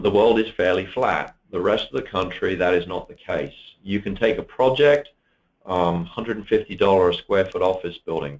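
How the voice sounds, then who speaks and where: A man with a low, deep voice walks through software features in a steady, explanatory way, now and then pausing on an um. The sound is dull and lo-fi, like a recorded online webinar. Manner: steady explanatory, occasional ums. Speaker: software presenter. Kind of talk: product webinar walkthrough.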